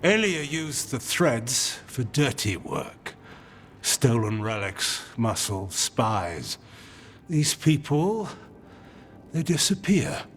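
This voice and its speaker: A man playing a hard, protective underworld gang member in a video game, blunt, deliberate and menacing. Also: medium pitch, very animated, slight background noise. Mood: hard resolve.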